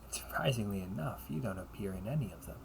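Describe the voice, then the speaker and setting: A man playing a composed, shrewd demon hunter who questions in a measured, deliberate, probing way in an audio roleplay. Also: on the low side, expressive, creaky, noisy background. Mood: calm, probing. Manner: measured, deliberate, probing, with pauses and hushed phrase endings. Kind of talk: audio roleplay, scripted character monologue addressed to listener.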